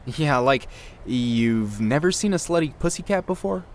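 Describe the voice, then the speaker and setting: A man's voice: mid-range voice, lively intonation, a little slow, slight background noise, close to an everyday voice. A man playing a slangy, joking young sound man of a ghost show in an audio drama, casual and teasing. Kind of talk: horror audio drama acting.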